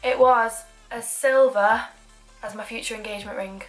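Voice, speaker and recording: A woman's voice: on the high side, steady tone, normal speed, faint music behind, poor audio. Chatty young Mancunian woman. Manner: chatty, self-deprecating asides while working. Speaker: beauty vlogger. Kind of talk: chatty makeup tutorial.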